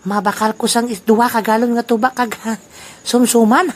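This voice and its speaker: A woman's voice: on the high side, fairly even intonation, normal speed, close to an everyday voice. A woman voicing a scheming old man, a drinking buddy who chuckles and laughs often, in an amateur radio play. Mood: scheming, giggly. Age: over 60.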